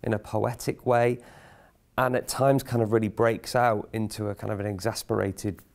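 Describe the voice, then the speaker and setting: Male theatre-maker, lowish gravelly voice, measured and reflective interview delivery. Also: flat delivery. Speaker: theatre company member. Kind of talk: explanatory interview talk.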